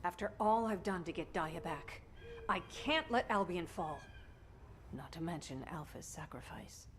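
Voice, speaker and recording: A woman's voice: lowish voice, animated, a little slow, a little hiss or hum. An actress playing a tough, brusque female fighter in a video game. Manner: brusque, no-nonsense.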